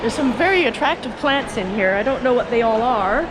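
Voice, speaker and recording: A woman giving casual, unhurried sightseeing commentary as a vlog host, chatting and musing aloud while she walks. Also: fairly high pitch, lively intonation. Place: high viewing terrace and indoor rooftop garden. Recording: noisy handheld video with urban ambience.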